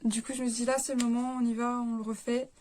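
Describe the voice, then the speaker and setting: Young woman doing a beauty review, slightly high pitched, rambly, lots of "euh", talking to her camera. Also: flat delivery, fast, a little mellow, a little hiss or hum. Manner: rambling, lots of filler words and self-corrections. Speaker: beauty vlogger. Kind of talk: casual chatty product review.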